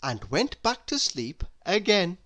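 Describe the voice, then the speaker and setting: Woman, children's storyteller, slow pace, very lively pitch, expressive read-aloud.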